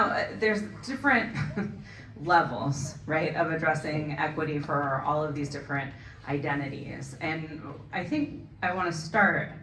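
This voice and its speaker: A woman with a Californian accent answering at a panel forum, earnest, tagging points with "right?" and quick asides. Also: low-pitched, noisy background. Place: forum event hall. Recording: echoey hall, mic at a distance.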